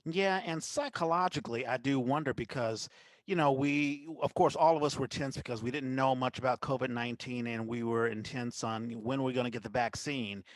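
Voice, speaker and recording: A man's voice: medium pitch, moderate pace, dry recording. Man hosting a casual podcast, dry close sound. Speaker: podcast host. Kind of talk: casual news chat.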